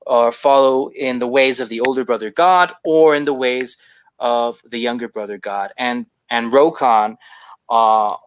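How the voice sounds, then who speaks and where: A man being interviewed as a writer, giving thoughtful, explanatory answers in a halting conversational way, repeating words as he forms his thoughts. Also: mid-range voice, smooth, dry recording. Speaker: guest, fiction writer.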